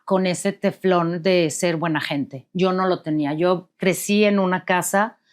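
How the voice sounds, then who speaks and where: A woman's voice: on the low side, fairly even intonation, measured pace. A woman, a guest co-author, answering interview questions in an earnest and openly affectionate way.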